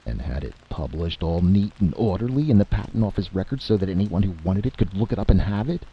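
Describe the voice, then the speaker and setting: A man's voice: low-pitched, animated, fast talker, husky, highs cut off, pitched above the performer's own voice, exaggerated voice. A man voicing a stern, blunt male general with a weighty, emphatic delivery in a dramatic story. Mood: sarcastic anger. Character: grave military general, stern, blunt and quick to anger.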